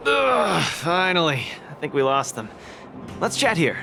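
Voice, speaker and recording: A young man's voice swings widely in pitch as a champion boxer in a video game. He is breezy, eager and friendly with a cocky streak, over clear background music. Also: big pitch swings, measured pace, bright, forceful tone, exaggerated voice. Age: young adult. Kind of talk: video game dialogue acting.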